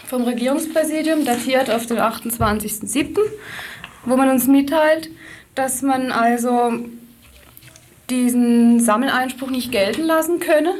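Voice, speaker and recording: A woman's voice: fairly high pitch, darkish tone, a little hiss or hum. A woman speaking as a campaign spokesperson in an interview, giving detailed, factual answers with hesitations and self-corrections. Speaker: activist spokesperson being interviewed. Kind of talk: spontaneous explanatory interview answers.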